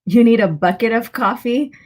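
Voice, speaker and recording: Chatty woman with a slightly raspy voice. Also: fairly high pitch, a little mellow. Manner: chatty, greeting viewers by turns, with pauses and asides. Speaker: livestream host. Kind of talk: casual live chat to viewers.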